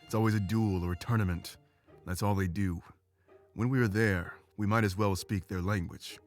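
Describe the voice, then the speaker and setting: A man's voice: low-pitched, steady tone, moderate pace. A man voicing a devoted, frank warrior beast-man who is eager for combat in a visual novel game. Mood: confident, eager. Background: game music.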